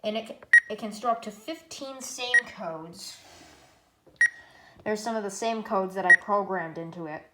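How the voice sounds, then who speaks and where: Echoey, noisy recording of a casual female reviewer. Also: mid-range voice. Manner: rambling, halting, self-correcting. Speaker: product reviewer. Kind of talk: casual unscripted gadget demonstration. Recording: echoey room, loud background noise.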